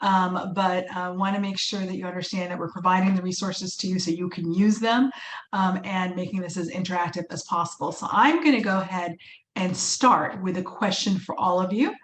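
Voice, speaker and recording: A woman's voice: fairly low pitch, fairly quick. Middle-aged woman from Uganda, webinar instructor, upbeat and encouraging, loose with fillers; video-call audio in an echoey room. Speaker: workshop presenter and fundraising instructor. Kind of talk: live webinar teaching. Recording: echoey room with faint ambient noise.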